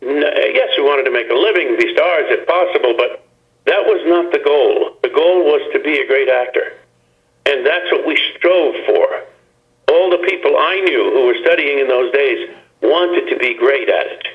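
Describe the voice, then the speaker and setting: An older actor talking as a guest in a phone-in interview, reflective and rambling, with many restarts. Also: male voice, a little high, animated, moderate pace, lo-fi sound.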